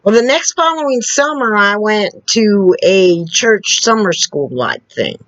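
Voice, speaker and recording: A woman telling personal stories in a casual way, with a loud, carrying voice and a slow, halting delivery full of restarts and asides. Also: mid-range voice, expressive, dry recording. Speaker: storyteller. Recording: dull lo-fi recording.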